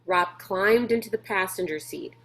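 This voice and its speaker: A woman narrating a children's storybook aloud like a teacher to pupils, in a deliberate, expressive way. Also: medium pitch.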